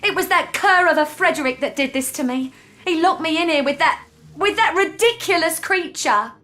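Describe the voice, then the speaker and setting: A woman's voice: fairly high pitch, measured pace. A woman playing a dramatic, genteel courtesan and damsel in a video game, with a theatrical, mannered delivery. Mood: grateful, indignant. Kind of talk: video game character acting. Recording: reverberant hall sound, music underneath.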